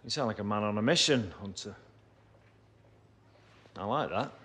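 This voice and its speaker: A man's voice: lowish voice, normal speed, a bit bright, lightly acted. A man playing a brusque football manager in a game cutscene. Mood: approving.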